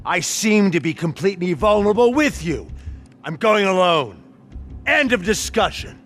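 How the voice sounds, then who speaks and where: An actor playing a proud, domineering boss in a video game, speaking in clipped, emphatic orders. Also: male voice, high voice, sing-song, normal speed, fairly bright tone, soft background music. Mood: stern. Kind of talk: video game cutscene voice acting.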